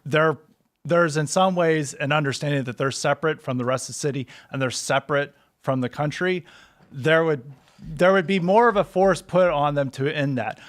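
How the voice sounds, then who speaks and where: Homem convidado de podcast, fala divagante. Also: male voice, a little high, moderate pace, fairly bright tone. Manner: rambling, loosely built sentences. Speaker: podcast guest. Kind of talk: political talk show discussion. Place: studio. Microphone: studio mic on boom arm.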